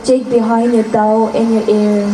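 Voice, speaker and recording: A woman's voice: a little high, little pitch movement, measured pace, far from the mic, crowd noise, lightly acted. A woman playing a devoted, lovesick young village daughter in an amateur folk tale drama, earnest and pleading. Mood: sorrowful. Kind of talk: amateur stage-style acting of a folk tale. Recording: echoey room, off-mic, faint noise.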